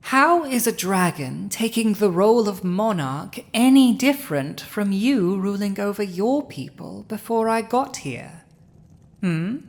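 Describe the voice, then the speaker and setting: A woman's voice: mid-range voice, darkish tone. A woman playing a proud villainous dragon who has seized a human throne, speaking formal, archaic lines in a scripted audio roleplay. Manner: formal archaic diction, haughty, deliberate.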